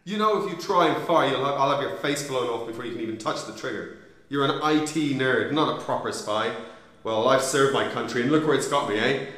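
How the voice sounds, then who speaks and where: Radio drama killer, male actor: cold, gloating agent, medium pitch, heavy room echo. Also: brisk, fairly bright tone, close to an everyday voice. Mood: taunting, bitter. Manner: taunting, gloating. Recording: very echoey room.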